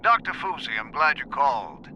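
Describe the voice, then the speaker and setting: Dude doing a slick persuasive backer, bright sharp tone, faint hum behind. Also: male voice, mid-range voice, sing-song, normal speed, dull audio, heavily acted. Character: smooth, persuasive male backer of the research. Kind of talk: audio drama acting.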